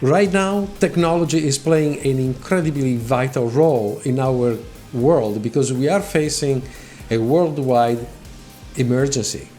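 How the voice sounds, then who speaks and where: A man's voice: mid-range voice, unhurried, darkish tone. Italian-accented man hosting a tech channel. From Italy. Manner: earnest, with repeated words and restarts. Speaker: channel host. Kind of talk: talking to camera, motivational explainer. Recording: faint music behind voice.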